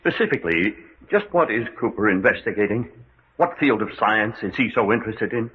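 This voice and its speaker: An actor playing a tough, insistent adventurer, blunt and demanding, in an old radio mystery serial. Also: male voice, lowish voice, animated, brisk, close to an everyday voice. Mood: wary, probing. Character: tough, insistent male adventurer interrogating his captors. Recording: noisy, dull old radio recording.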